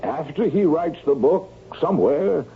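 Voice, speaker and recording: A pedantic older gentleman in a radio play. His voice is highish and very animated, fussy and knowing. The broadcast sounds thin and band-limited. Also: measured pace, phone-quality sound, a little hiss or hum. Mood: knowing, insistent. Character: older, pedantic, insistent male mystery book buff. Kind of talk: radio drama acting.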